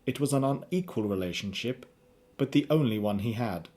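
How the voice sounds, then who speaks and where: A man narrates a radio drama from a script. His voice is dark and mellow, sitting at a medium pitch. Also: animated, brisk.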